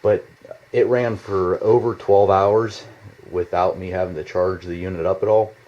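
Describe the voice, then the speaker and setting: Male amateur reviewer, deep register, informal and unscripted, meandering delivery, somewhat dull lo-fi basement recording. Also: steady tone. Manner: halting, rambling, informal with mild swearing. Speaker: product reviewer. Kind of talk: casual unscripted product demonstration. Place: indoors in a home basement. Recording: slightly dull, lo-fi home video sound.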